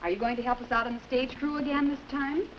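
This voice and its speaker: An actress playing an encouraging high school drama teacher with a teacherly manner, in a 1950s instructional film. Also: female voice, fairly even intonation, quick pace, natural voice. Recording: noisy hissy old 1950s film soundtrack.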